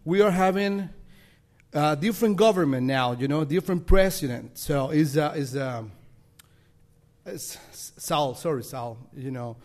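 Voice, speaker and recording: Salvadoran man, Spanish accent, slightly high voice, halting friendly church talk. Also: animated, a little mellow. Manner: halting non-native English, self-deprecating, laughing often. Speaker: missionary leader. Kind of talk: guest address to a congregation.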